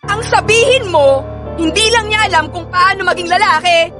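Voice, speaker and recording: A woman's voice: very high-pitched, moderate pace. An actress with a Filipino accent playing a pregnant young woman betrayed by her partner, emotional and pleading, in a radio drama. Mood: shocked, angry. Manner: emotional, insistent, repeats words and pleads. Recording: dramatic music bed under the voice. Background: music.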